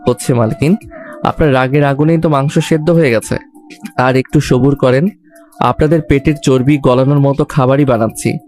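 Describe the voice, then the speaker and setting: A man's voice: medium pitch, steady tone, normal speed, darkish tone, lightly acted. A man playing a cheeky young servant in an audio story, teasing with mock politeness and sarcasm. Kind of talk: Bengali audio story acting. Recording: background music under the voice.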